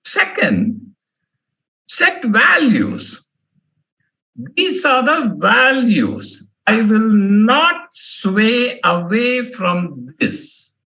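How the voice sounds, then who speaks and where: A man's voice: high voice, big pitch swings, drawn-out words, bright, forceful tone. A male professor from India giving a motivational management lecture, emphatic and fond of repeating key words. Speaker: professor giving a guest talk.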